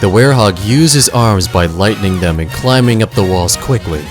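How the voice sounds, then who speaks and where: A man's voice: lowish voice, animated, normal speed, music in the background. A man narrating an audio drama in a dramatic, scripted storytelling style.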